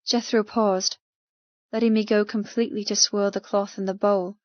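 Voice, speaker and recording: Female audiobook narrator: mid-pitched, dramatic, measured storytelling. Dry studio recording, a touch muffled. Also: level tone, no room sound. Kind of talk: scripted first-person fiction narration.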